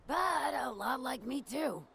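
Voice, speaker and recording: A woman's voice: sits high, expressive, slow pace, creaky, a crowd in the background, full character voice. A woman playing a tough, wisecracking, jaded ghoul brawler in a video game, talking in cocky street slang with a gritty edge. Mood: wry. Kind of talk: video game character dialogue.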